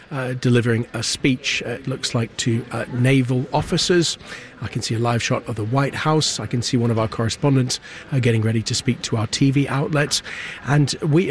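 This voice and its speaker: Male radio news host, noisy newsroom. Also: medium pitch. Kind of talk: live news presenting and interviewing. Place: small desk in a busy main newsroom. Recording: clear background noise. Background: newsroom ambience.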